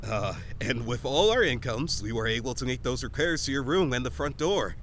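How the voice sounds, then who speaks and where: Actor as modest young boyfriend, casual, unassuming, expressive pitch, faint rumble, audio drama. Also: male voice, normal speed, close to an everyday voice. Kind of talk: comedy audio drama acting.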